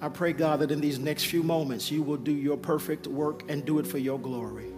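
A man who is a pastor giving an altar call and announcements to his congregation, with a hoarse voice he says he keeps losing. He talks in a coaxing, repetitive preaching manner. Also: fairly high pitch, steady tone, fairly quick. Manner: urgent repeated coaxing, preacherly. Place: church sanctuary. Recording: live church sound with music and crowd. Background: music and congregation.

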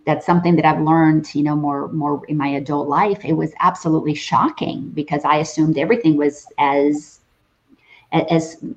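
Low-voiced woman from the Dominican Republic. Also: fairly even intonation, moderate pace, dull audio. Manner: hesitant, repeats words as she gathers thoughts. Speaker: host. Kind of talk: interview conversation.

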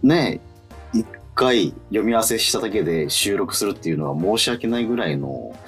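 A man's voice: fairly low pitch, animated, moderate pace, faint music behind. A man co-hosting a casual radio after-show chat, feeling his way through sentences and often rephrasing. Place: radio studio.

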